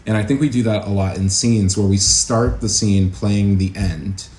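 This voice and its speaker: Male interview guest, soft dark tone, thoughtful and explanatory, roomy sound with faint noise behind. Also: on the low side, normal speed. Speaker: guest, acting teacher. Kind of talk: casual interview conversation.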